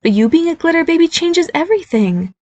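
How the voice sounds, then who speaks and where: A woman's voice: very high-pitched, sing-song, a little fast, darkish tone, voice pushed up. A woman voicing a nervous, bubbly fairy godmother mother who keeps reassuring her son. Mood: chirpy nervous.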